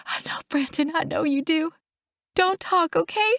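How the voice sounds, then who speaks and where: A woman's voice: very high-pitched, a little slow, fairly bright tone, no echo, studio quality, voice pushed up, exaggerated voice. A woman voicing a desperate, grieving woman in labor, pleading and crying out in a dramatic audiobook. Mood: tearful desperation. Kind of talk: dramatic audiobook character acting.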